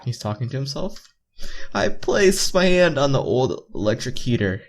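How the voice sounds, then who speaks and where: A man's voice: medium pitch, sing-song, moderate pace. A man reading visual novel text aloud as a let's player, stumbling over lines and repeating words, with joking asides. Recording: game music behind voice.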